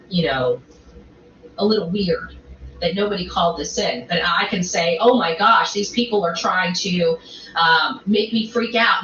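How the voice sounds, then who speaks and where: A woman with a fairly low voice rambles as an online commentator, halting and repeating herself emphatically. The sound is echoey and muffled. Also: a bit bright. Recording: roomy, muffled, faint background noise.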